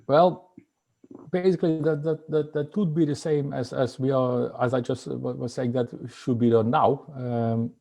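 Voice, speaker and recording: Mellow-voiced Dutch man, halting. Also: medium pitch, steady tone, gravelly. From Netherlands. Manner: hesitant, many fillers and restarts. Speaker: guest entrepreneur. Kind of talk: casual interview talk.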